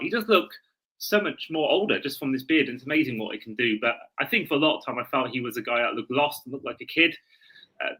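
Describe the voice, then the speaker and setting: Man, sports podcast host, bright forceful voice, medium pitch, casual chat, restarts sentences. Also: husky, poor audio.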